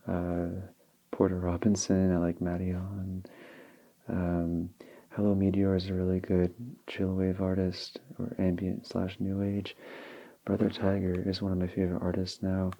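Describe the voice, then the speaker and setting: A young man whose first language is Polish, speaking softly and slowly as an ASMR creator answering viewer questions. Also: low voice, dull audio. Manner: slow and relaxed, with small restarts. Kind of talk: soft-spoken ASMR Q&A. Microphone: handheld field recorder.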